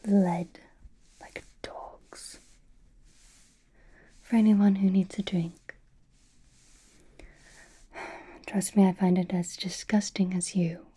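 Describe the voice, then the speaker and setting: A woman playing an elegant, teasing female vampire noble in an ASMR roleplay, speaking in a soft, breathy whisper with a refined manner. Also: lowish voice, flat delivery. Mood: dry, instructing. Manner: slow, refined, wryly teasing.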